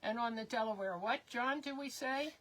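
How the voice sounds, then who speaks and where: An older woman co-hosting a live crafting stream, chatting in a rambling, casual way with many pauses as her voice wears thin and hoarse. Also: fairly high pitch, fairly bright tone. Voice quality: slightly hoarse. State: losing her voice.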